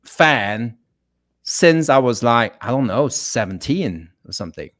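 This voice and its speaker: Man, mid-pitched, very lively intonation, casual chat, guest musician. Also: measured pace.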